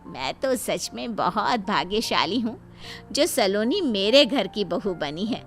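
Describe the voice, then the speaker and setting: A woman voicing proud, sharp-tongued middle-aged aunties in a story, effusive and pointed. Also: medium pitch, big pitch swings, slow, exaggerated voice. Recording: music behind the voice.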